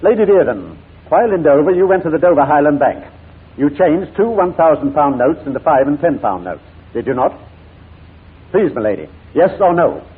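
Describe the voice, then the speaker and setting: An actor playing a relentless, theatrical defense barrister who questions formally and insistently in a 1940s radio courtroom drama. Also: male voice, a little high, very animated, moderate pace, husky, heavily acted. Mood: pressing, insistent. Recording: muffled, narrow-band 1940s radio broadcast.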